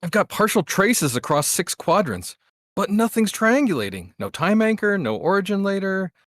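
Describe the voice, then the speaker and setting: Man playing a high-voiced urgent agent. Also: very animated, normal speed, a bit bright, no echo, studio quality. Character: urgent, technical male agent reporting at his terminal. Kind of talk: animated pilot table read.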